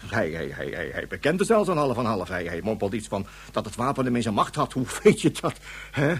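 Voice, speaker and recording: An actor playing a flustered man in a Dutch radio drama, husky and stammering as he talks urgently and conspiratorially. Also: on the low side, very animated, a little fast, full character voice. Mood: hushed, urgent. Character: flustered man soothing, then secretly reporting a madman. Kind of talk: Dutch science-fiction radio drama acting.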